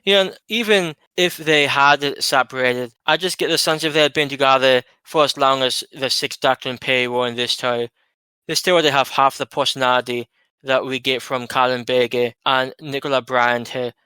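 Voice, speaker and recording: A man co-hosting a casual chat, giving his opinions in a steady, easygoing way. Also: medium pitch, normal speed, clear, resonant tone, no room sound. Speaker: podcast co-host.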